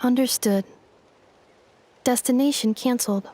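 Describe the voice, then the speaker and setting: A woman's voice: on the high side, a little slow, dark tone, a little hiss or hum, lightly acted. A woman voicing a polite, obedient female robot in a video game, speaking in clipped, formal announcements. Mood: composed, obedient.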